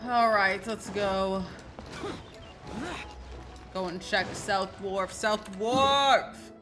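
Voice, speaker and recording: A woman's voice: mid-range voice, extremely slow. A woman doing casual livestream game commentary, chatty, reactive and slangy. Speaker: game streamer. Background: game music.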